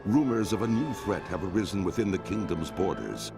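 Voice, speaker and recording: A man's voice: low voice, lively intonation, a little fast, vocal fry, music in the background. A man playing a grave, commanding storyteller, narrating a fantasy game cutscene in a portentous, theatrical way. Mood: grave, ominous. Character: solemn, commanding male storyteller of an epic fantasy war saga.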